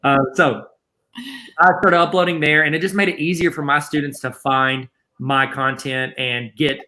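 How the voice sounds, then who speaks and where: A man with a Southern accent walks teachers through the basics in a chatty, joking way. His voice sits a bit high and sounds bright and forward. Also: fairly even intonation, normal speed, poor audio. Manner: joking, chatty, self-deprecating asides. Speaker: guest presenter teaching teachers. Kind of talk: casual instructional webinar.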